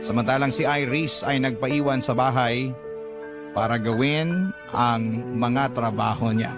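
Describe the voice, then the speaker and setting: A man's voice: mid-range voice, unhurried, lightly acted. An actor playing a troubled boyfriend who recounts his relationship as scripted first-person radio drama narration. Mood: reflective, troubled. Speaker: narrator of a letter sender's story. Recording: music bed behind narration.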